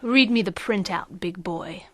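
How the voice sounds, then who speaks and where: An actress playing a perky, polished radio co-host with wry, promotional patter in a satirical audio drama. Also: female voice, medium pitch, a little slow, a bit bright, no room sound, studio quality. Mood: pleased. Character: perky, smooth-selling female book radio co-host.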